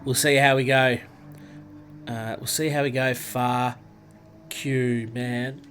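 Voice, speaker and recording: A man's voice: medium pitch, level tone, takes it slowly, a bit bright, faint music behind. An Australian man with a stuffy, nasal voice from a cold, chatting casually with viewers while streaming a racing game. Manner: chatty, greets viewers by name. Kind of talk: casual live-stream chat over gameplay.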